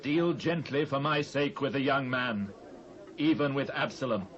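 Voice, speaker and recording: A man's voice: mid-range voice, unhurried, a bit bright, slight background noise, lightly acted. A British man playing a grave, tender king in a dramatised scripture reading in archaic English. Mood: tender, pleading. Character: grave, tender aging king addressing his people. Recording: dull lo-fi cassette transfer.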